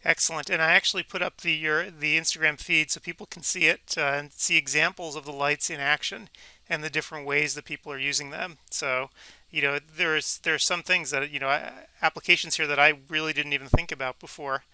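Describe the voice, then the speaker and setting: A man's voice: on the high side, fairly even intonation, fairly quick, pushed and bright, clear, resonant tone, no echo, rough recording. A man hosting a casual, conversational interview. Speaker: interviewer, host.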